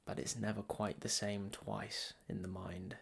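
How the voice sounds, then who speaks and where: A man leading a guided meditation as a teacher, speaking soothingly and deliberately in short phrases with long pauses between them. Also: fairly low pitch, little pitch movement, creaky, husky.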